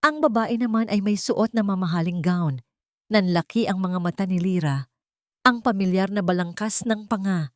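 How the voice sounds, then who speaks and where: A woman storyteller reading a scripted, dramatic narration with measured phrasing. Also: mid-range voice, a little mellow, no echo, clean studio sound.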